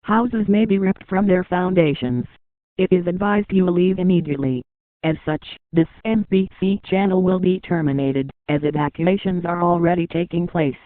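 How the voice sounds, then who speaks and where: A man's voice: sits high, moderate pace, pauses often, dry recording. A man reads a scripted emergency announcement for a TV station, with a formal, impersonal delivery. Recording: narrow phone-like band, dry.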